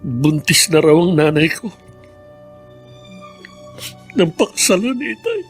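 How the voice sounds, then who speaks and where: A man's voice: on the high side, big pitch swings. Remorseful Filipino father, music underneath. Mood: anguished, confessional. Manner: halting, earnest, emotional. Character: remorseful adult father, troubled by a family secret. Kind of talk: Filipino radio drama acting.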